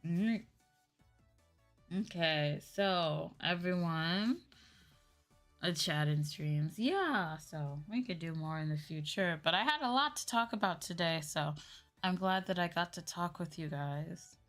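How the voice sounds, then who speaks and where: A woman's voice: on the low side, lively intonation, slow, a bit bright. A woman livestreamer chatting casually with her viewers, with music playing behind her. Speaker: livestreamer, VTuber host.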